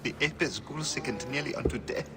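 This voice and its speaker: An actor voicing an anxious, solemn high priest of a cursed city in a video game cutscene, stammering and pleading. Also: male voice, brisk, lightly acted. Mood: grave. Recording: game cutscene with music underneath.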